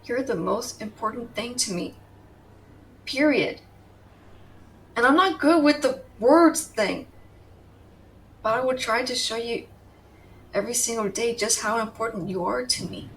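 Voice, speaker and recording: A woman's voice: on the high side, unhurried, pauses often, recorded from a distance, a little hiss or hum, low-quality recording. A woman playing a fiercely protective, tender tsundere girlfriend in an intimate, emotional roleplay audio, blunt in her affection. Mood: tender, vulnerable. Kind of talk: dramatic roleplay audio, intimate second-person acting.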